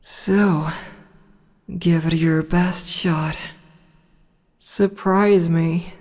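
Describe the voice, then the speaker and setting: A regal demon empress, played by a woman, purrs her taunts in a low, mellow voice with the odd whisper. She speaks very slowly and lingers on each word. Her voice trails off into a long reverb. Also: fairly even intonation. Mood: teasing. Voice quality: soft, slow and teasing, with whispered asides. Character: teasing female demon empress, regal and amused. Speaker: voice actor. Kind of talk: ASMR audio roleplay.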